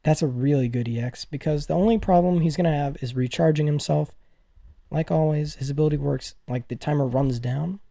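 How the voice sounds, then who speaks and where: Excitable young-sounding man, higher-pitched mellow voice. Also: a little fast, vocal fry, dry recording, clean studio sound. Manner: gushing, excitable, effusive. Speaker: gaming news video host. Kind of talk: casual gaming commentary to viewers.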